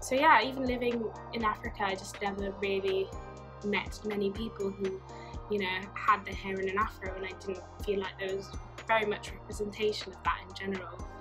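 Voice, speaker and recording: Twenties, soft and reflective, casual fillers, music bed under a phone recording. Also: female voice, medium pitch. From Norway. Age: 20s. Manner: soft-spoken, thoughtful, many fillers like "like" and "you know". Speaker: artist. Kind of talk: reflective personal testimony to camera. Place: bright sunlit room, seated. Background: background music.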